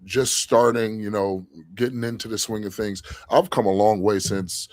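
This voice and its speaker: A man's voice: on the low side, steady tone, normal speed, a little mellow, no room sound. A man co-hosting a fan podcast, talking earnestly in casual chat and often adding "you know what I'm saying". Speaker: co-host of a fan show.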